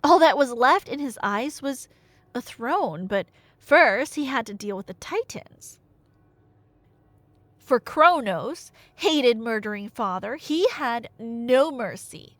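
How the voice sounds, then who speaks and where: A woman telling a myth as a dramatic yet casual story, expressive and conversational with wry asides. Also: on the high side, slow pace, no room sound. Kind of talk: dramatic casual retelling of myth, roleplay audio.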